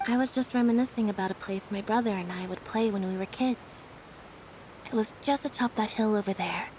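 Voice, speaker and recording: A woman's voice: mid-range voice, brisk, darkish tone, slight background noise, lightly acted, rough recording. A woman playing a melancholy character in a game, speaking in a subdued way as if lost in memories. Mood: wistful. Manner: wistful, subdued. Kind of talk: game voice acting.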